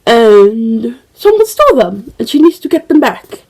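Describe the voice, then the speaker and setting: A woman's voice: on the high side, big pitch swings, measured pace, darkish tone, low-quality recording. A young woman chatting casually about books as a vlogger, rambling with lots of fillers and restarts, a little congested from a cold. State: slightly congested with a cold, occasional cough. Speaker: book vlogger. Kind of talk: casual chat.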